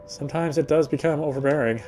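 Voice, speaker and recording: A man's voice: a little high, fast, warm tone. A man playing a game on stream, reading its story narration aloud and sometimes correcting his slips. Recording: music behind the voice. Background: game music.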